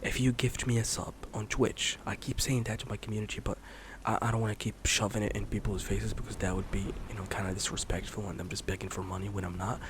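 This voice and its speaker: A man in his late twenties, a streamer rambling in a soft-spoken, candid way, swearing casually and slipping into whispers. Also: fairly low pitch, flat delivery, fast, hardly any pauses, noisy background. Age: 20s. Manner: candid, swears casually, many fillers and restarts; drifts between soft speech and whisper.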